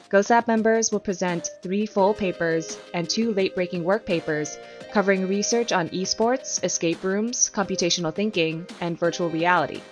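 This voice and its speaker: A woman reading a scripted podcast introduction in a smooth, read-aloud delivery. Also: mid-range voice. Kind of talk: scripted narration. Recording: intro with faint music behind.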